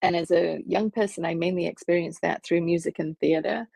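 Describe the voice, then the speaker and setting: A woman in her fifties, from Liverpool, answering interview questions thoughtfully with frequent pauses and fillers over a video call. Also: lowish voice, steady tone, vocal fry, dark tone, dry recording. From England, Liverpool. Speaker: film studies professor, guest.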